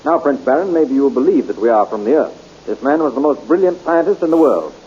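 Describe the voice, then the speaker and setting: An actor playing a brave young space hero in a 1930s radio serial, with brisk, heroic declamation, in a dull lo-fi old recording. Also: male voice, mid-range voice, animated, dark tone, breathy edge. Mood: earnest.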